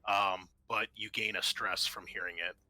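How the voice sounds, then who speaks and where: A man's voice: low voice, steady tone, normal speed, sharp tone, dry recording, rough recording. A man narrating a tabletop roleplaying game as game master, casually and hesitantly, improvising as he goes. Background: background music.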